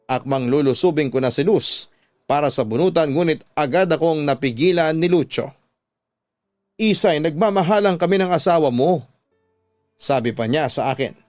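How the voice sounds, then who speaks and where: A man's voice: fairly high pitch, no room sound. A man narrating a dramatic first-person story as the host of a radio drama. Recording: close dry studio sound over music.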